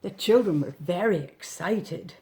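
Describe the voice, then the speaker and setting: Female storybook reader, animated and varied in pitch, slow pace, gentle asides and questions for small children. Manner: gentle, animated, pointing out pictures with questions to the listener. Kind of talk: expressive picture-book reading for young children, with chatty asides.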